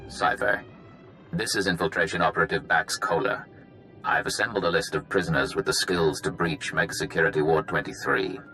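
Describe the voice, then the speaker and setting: An actor playing a businesslike infiltration operative who gives a brisk, matter-of-fact recorded briefing in a video game. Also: male voice, very deep voice, pushed and bright, faint music behind.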